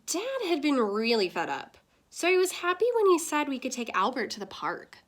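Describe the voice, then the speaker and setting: A woman's voice: high voice, big pitch swings, moderate pace, a bit bright, voice pushed up. A woman reading as a cheerful, cheeky young girl in a storybook, chatty and frank.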